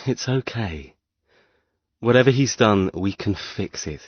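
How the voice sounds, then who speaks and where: A man playing a soothing but quietly defiant younger brother in an audiobook, with an easygoing, coaxing manner. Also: on the low side, animated, unhurried, husky, dry recording, lo-fi sound. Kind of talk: audiobook character dialogue.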